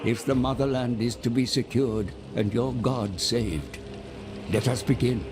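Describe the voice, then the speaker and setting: An actor playing a mysterious, scheming advisor who narrates a video game cinematic in a grave, formal, deliberate manner. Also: male voice, medium pitch, noisy background, lightly acted. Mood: grave, counselling.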